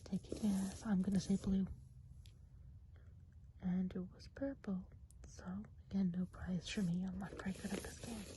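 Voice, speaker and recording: A woman playing a friendly, chatty Christmas witch of the woods in a gentle, breathy ASMR whisper. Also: mid-range voice, level tone, a little hiss or hum, lightly acted, rough recording. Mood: playful. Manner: gentle, unhurried, chatty and hesitant. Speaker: ASMR role-play host. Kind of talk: whispered ASMR role play.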